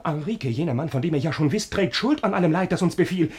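An actor playing an ardent, anguished young lover, declaiming impassioned rhymed verse in a radio play. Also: male voice, on the high side, lively intonation, fast, natural voice. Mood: pleading.

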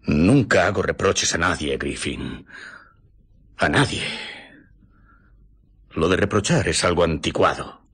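Sober disapproving questioner, deep husky voice, Castilian accent, measured, radio drama. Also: male voice, animated, fairly bright tone, full character voice. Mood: measured, probing. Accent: Spain. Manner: measured, short probing questions.

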